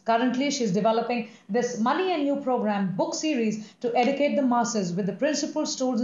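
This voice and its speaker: A woman's voice: a little high, darkish tone. A woman hosting an interview over a video call, effusive and repeating words as she searches for them. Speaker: host. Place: indoors. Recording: echoey, slightly muffled call audio.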